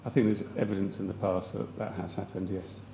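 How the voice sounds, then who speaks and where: A man with a slightly croaky voice, giving hesitant formal testimony as a chief executive at a public hearing, with many fillers and restarts. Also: deep voice, flat delivery, fairly quick, warm tone, slight background noise. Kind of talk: formal testimony under questioning. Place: large public hearing hall. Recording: echoey hall with faint ambience.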